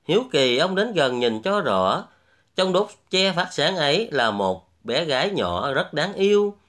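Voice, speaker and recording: Male fairy-tale storyteller with animated pitch. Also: unhurried. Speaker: storyteller, host. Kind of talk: scripted fairy-tale narration.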